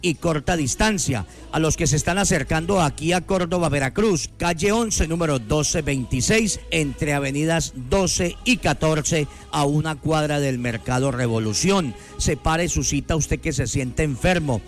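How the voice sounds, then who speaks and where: Sing-song radio host. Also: male voice, a little high, moderate pace. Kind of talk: sing-song radio pitch, rattling off phone numbers. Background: soft background music.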